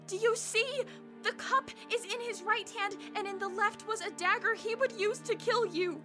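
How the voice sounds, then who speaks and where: A woman acts a bold, devoted young servant girl in an old-tale radio play. Her voice is very high, her phrasing earnest and formal, and music runs clearly beneath. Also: moderate pace. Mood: earnest, firm. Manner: earnest, formal storybook diction.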